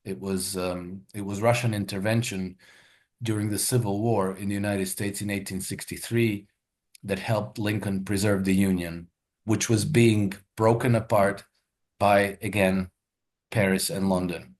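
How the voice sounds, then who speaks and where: A man's voice: lowish voice, level tone, unhurried, darkish tone. Man from Croatia explaining with fillers. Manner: explanatory, emphatic with frequent fillers. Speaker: guest, market analyst. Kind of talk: interview conversation.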